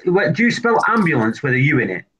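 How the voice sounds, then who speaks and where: A man's voice: a little high, sing-song, quick pace, a bit bright, low-quality recording. Teasing man in casual chat. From United Kingdom. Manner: teasing, joking. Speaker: chat participant.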